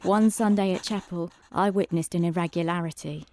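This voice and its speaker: A woman's voice: lowish voice, fairly even intonation, a little fast, a little mellow, close to an everyday voice. A woman playing a prim, naive and nosy young penitent, earnest in tone, in a period audio drama. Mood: prim, suspicious. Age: young adult. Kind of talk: period audio drama acting, narration and dialogue.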